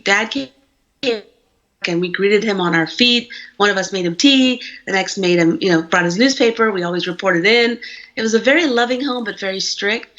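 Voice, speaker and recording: A woman with an American accent, born in India, chats eagerly with a school audience over a video call. Her voice sits at an ordinary middle pitch and comes through slightly dull and muffled. She rambles warmly from one story to the next. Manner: chatty and animated, talks at length. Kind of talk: casual talk to students.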